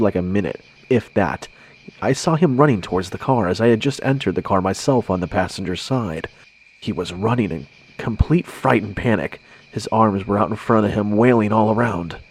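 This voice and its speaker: A man tells a scary story in the first person. His voice sits fairly low, and he narrates in a conversational, scripted way. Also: darkish tone.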